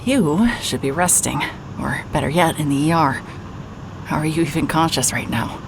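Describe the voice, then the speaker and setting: A woman's voice: deep voice, moderate pace, gravelly, noisy background. An actress playing a weary, wry, guarded woman investigator in an audio drama, speaking in a hesitant, dry way. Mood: wry, deflecting.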